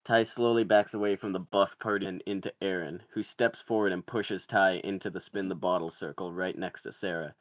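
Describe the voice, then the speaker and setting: A man's voice: low voice, fairly even intonation, fairly quick, few pauses, no room sound. A man reading screenplay stage directions as narrator at a table read.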